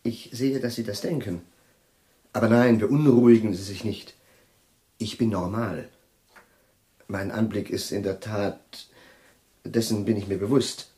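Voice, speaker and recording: A man's voice: fairly low pitch, a little slow, long pauses, dark tone, lightly acted. A man playing an absent-minded, flustered chemistry professor in a radio play, hesitating and searching for words with polite nervousness. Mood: rambling. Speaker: professor Jefrosimow. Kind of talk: 1980s radio play acting.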